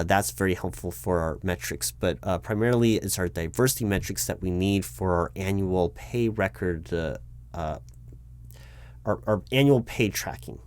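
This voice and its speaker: An American man playing a flustered corporate recruiting manager in a spoken ASMR roleplay, explaining things in a halting, officious way. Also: sits low, a little mellow. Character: flustered bureaucratic male corporate recruiting manager addressing his disguised boss. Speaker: performer of a one-sided roleplay. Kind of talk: improvised conversational ASMR roleplay, spoken aloud.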